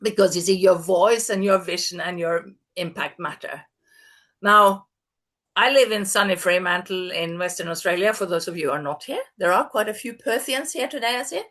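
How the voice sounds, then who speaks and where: A woman's voice: medium pitch, a bit bright. A woman, presenting an online workshop over a video call in an upbeat, chatty way. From Norway. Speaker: masterclass presenter.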